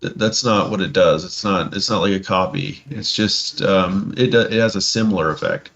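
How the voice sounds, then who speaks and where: A man's voice: deep voice, moderate pace, vocal fry, fairly bright tone, dull audio, faint music behind. A man co-hosting a podcast, chatting casually about tabletop games in a hesitant, noncommittal way. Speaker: podcast co-host.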